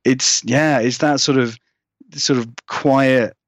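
A man's voice: mid-range voice, steady tone, slow, no echo. A British man chatting casually as an interview guest, rambling and acting out remarks as he goes. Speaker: guest horror writer.

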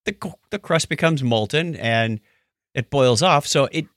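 Bright-voiced man, lively and wry. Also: medium pitch, measured pace, dry recording, clean studio sound. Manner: wry, joking asides. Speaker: podcast co-host. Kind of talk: casual science news chat.

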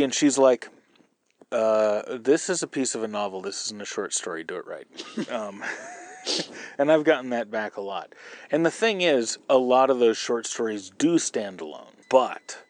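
A man's voice: lowish voice, lively intonation, normal speed. A man hosting a podcast, explaining writing craft in an informal, colloquial way. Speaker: podcast host and novelist. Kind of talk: casual writing advice podcast.

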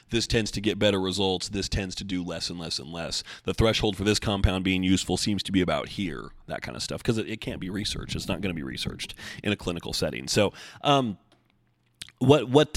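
Low-voiced man, rambling podcast host. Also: dry recording, clean studio sound. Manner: rambling, self-correcting, dryly humorous. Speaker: podcast host and bodybuilding coach. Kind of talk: casual solo podcast answering listener questions.

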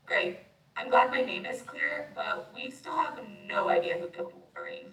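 A man's voice: very high voice, huge pitch swings, moderate pace, full character voice. A male performer playing a determined villain turned detective in an amateur youth radio play. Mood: suspicious. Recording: distant, echoey, noisy room recording.

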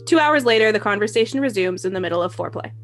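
A woman's voice: medium pitch, animated, rapid-fire. A woman narrating as podcast host, giving dry, blunt, sarcastic commentary on a dramatic reading.

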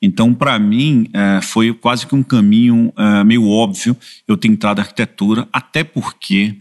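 A middle-aged man from Salvador, Brazil, with a Bahian accent, talking reflectively in an interview as a guest, with many pauses and fillers. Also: lowish voice, fairly even intonation, a little mellow. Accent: Bahian Brazilian Portuguese. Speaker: advertising executive guest. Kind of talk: casual interview. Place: podcast studio, in person.

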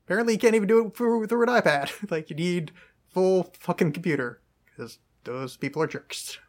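A man's voice: sits high, a little fast. A young man hosting a casual podcast chat, rambling and self-mocking, swearing and laughing at his own jokes. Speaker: podcast host.

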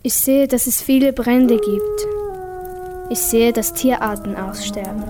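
A woman's voice: a little high, big pitch swings, unhurried, music in the background. A girl aged ten to twelve reading a scripted poetic text. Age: child, 10 to 12. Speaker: young workshop participant reading own text.

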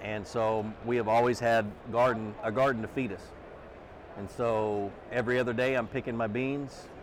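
A middle-aged man with a loud voice, talking earnestly as a podcast guest and repeating words as he thinks. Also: medium pitch, steady tone, moderate pace, a little hiss or hum. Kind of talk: casual interview conversation. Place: trade show booth, outdoors.

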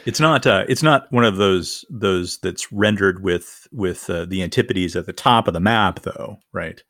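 A man's voice: low voice, unhurried, a bit bright, no echo, clean studio sound. A man co-hosting a podcast who jokes and hesitates mid-sentence.

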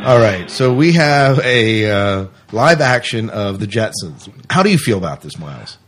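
A man's voice: on the low side, sing-song, normal speed, music in the background. A man co-hosting a podcast, chatting casually about sci-fi news. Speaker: podcast co-host.

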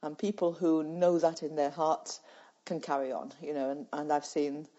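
A woman's voice: sits low, flat delivery, moderate pace, warm tone. An older woman from the UK, a guest in an interview, telling stories in a reflective way and laughing easily. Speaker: guest, former charity president. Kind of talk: conversational interview storytelling. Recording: slightly muffled, lo-fi audio.

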